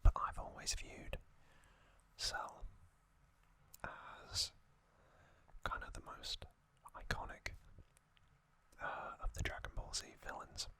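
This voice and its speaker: An adult ASMR host narrating in a soft, deliberate whisper, pausing often between short phrases. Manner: soft, deliberate, reflective with pauses.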